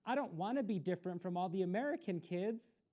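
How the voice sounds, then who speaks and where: A shy little schoolgirl from a storybook, acted out by a woman. She sounds anxious and hesitant, with a soft-edged mellow tone and lively swings of pitch. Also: fairly quick, lightly acted. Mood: complaining. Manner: hesitant, stammering. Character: shy, anxious young Korean immigrant schoolgirl.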